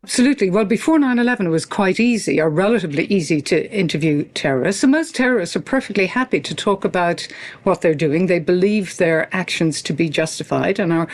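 An older woman with an Irish accent, answering interview questions in a measured, articulate and reflective way. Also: on the low side. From rural Ireland. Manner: articulate, measured, formal phrasing. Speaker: interview guest, academic and former university leader.

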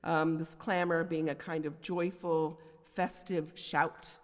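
A woman's voice: low voice, level tone, slow. A woman with an American accent giving an academic poetry lecture, scholarly but with conversational asides. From United States. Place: large indoor lecture hall. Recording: reverberant lecture hall.

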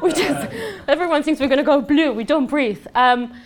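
A woman from southern Spain with a Spanish accent, giving a fast, chatty, joking networking presentation to a room. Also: high-pitched, a crowd in the background. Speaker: networking speaker. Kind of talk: lively conference presentation. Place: conference room. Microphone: mic at a talk.

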